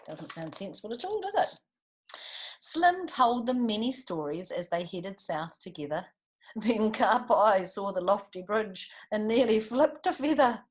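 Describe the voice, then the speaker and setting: A woman's voice: mid-range voice, expressive, a little slow, husky. A woman with a New Zealand accent reads a picture book to children expressively, adding chatty asides and questions for her listeners. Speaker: storytime reader for children.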